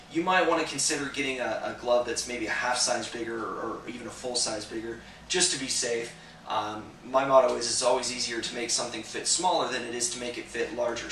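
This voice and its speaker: Young male store reviewer, laid-back chat full of fillers, bright tone, recorded slightly distant in a roomy space. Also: mid-range voice, a little hiss or hum. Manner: relaxed, conversational, many "uh" and "um" fillers. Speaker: gear reviewer for a sports protection store. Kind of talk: casual product review to camera. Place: indoor shop room with slat wall. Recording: echoey room, voice a bit distant.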